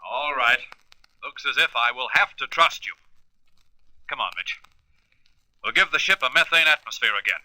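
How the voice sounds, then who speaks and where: An actor playing a daring, commanding space adventurer in a radio serial, earnest and emphatic. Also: male voice, mid-range voice, expressive, moderate pace, long pauses, bright, forceful tone, dry recording, low-quality recording. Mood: brisk, restrained.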